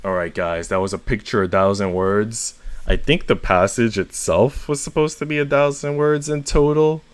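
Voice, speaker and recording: Low-voiced man reading a story aloud. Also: normal speed, smooth, no echo. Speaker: story reader and host. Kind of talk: expressive first-person short story read-aloud.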